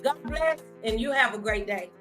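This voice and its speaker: A woman's voice: fairly high pitch, normal speed, over music. A middle-aged woman chats candidly and animatedly as the host of a faith-based talk show. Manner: animated, candid, acts out quoted remarks.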